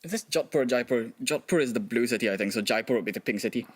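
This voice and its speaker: A student in his twenties from Singapore, a quiz contestant who reasons his answers out loud. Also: mid-range voice, expressive, rapid-fire.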